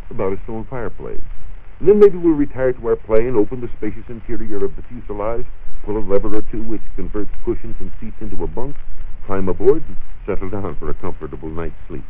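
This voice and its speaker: A man's voice: lowish voice, husky. An American man working as a science reporter, giving a semi-scripted talk in 1940s radio style on an old broadcast recording. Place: radio studio. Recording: muffled, narrow-band 1940s radio recording.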